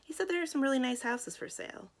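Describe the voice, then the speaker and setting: A woman voicing a proud, steady father in a children's novel, with a firm, measured manner. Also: high voice, pitched above the performer's own voice, natural voice. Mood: pleased, confident.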